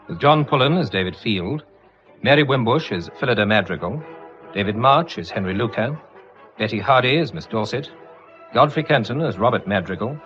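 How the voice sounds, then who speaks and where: A man's voice: mid-range voice, lively intonation, moderate pace, a bit bright, faint music behind. A man with an English accent reading formally as an old-time radio announcer. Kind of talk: formal scripted credit reading, mid-century radio. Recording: dull, muffled vintage radio recording.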